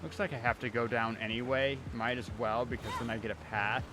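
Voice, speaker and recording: A man's voice: medium pitch, steady tone, fairly quick, background noise. A man livestreaming a video game with casual, wry, grumbling commentary. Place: inside a cold house. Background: game music.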